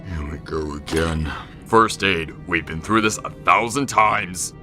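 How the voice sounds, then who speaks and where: An extremely deep, forceful voice for a weary, blunt robot medic played by a man. It is audio drama acting with faint music underneath. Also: unhurried, heavily acted. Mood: resigned, irritated.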